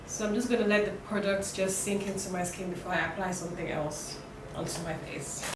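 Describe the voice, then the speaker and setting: A young woman with a croaky voice from a cold, chatting casually as she walks through a makeup tutorial, with lots of fillers and restarts. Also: mid-range voice, fairly even intonation, brisk. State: hoarse from a cold. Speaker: beauty vlogger. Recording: roomy, distant, noisy home recording.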